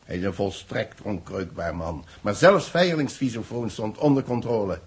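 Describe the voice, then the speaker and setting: An actor playing an earnest, worried official in a 1960s radio drama, speaking in a grave, formal manner with a husky voice. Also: male voice, lowish voice, lively intonation, moderate pace, full character voice. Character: earnest, worried male official confiding in a team.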